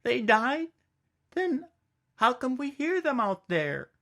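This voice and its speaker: A man's voice: very high voice, big pitch swings, slow, a bit bright, pitched above the performer's own voice, exaggerated voice. A man voicing a small, innocent, wide-eyed boy who asks naive questions in a storybook reading. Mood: puzzled.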